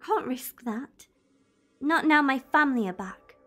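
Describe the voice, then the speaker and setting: A high-pitched young woman's voice in a sci-fi audio drama, performed by an actress. She is a stubborn, disillusioned companion to a time traveller. She answers back bluntly and holds her ground. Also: lively intonation, unhurried, a bit bright, faint music behind, heavily acted. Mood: firm, resolute.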